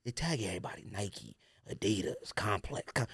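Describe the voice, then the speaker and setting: A man's voice: on the low side, wildly swooping pitch, moderate pace, creaky, a bit bright, breathy edge, no room sound, clean studio sound. A man hosting a podcast in casual, slangy chat, blunt and emphatic, often repeating his phrases for effect. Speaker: podcast host.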